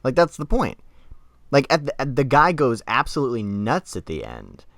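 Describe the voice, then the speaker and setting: A man's voice: mid-range voice, huge pitch swings, normal speed, a bit bright, no room sound, studio quality. A man co-hosting a podcast, casually debating in an emphatic, argumentative way. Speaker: podcast co-host and critic.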